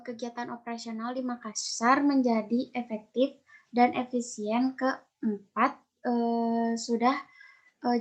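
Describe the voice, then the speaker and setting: A young woman giving a student group presentation, speaking haltingly with frequent hesitations. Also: a little high. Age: young adult. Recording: dull, lo-fi audio.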